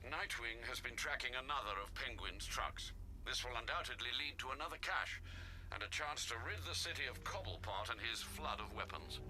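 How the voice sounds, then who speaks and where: An actor playing a courteous older butler in a video game, formal, dry and understated. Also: male voice, mid-range voice, pushed and bright, low-quality recording. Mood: dryly informative. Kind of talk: video game cinematic acting.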